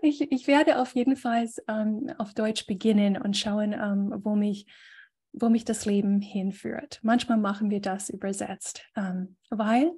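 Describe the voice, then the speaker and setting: A woman coach giving a relaxed talk to a group on a video call, pausing often with fillers. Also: medium pitch. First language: German. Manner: thoughtful, many fillers, reads a definition aloud. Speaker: guest speaker and coach.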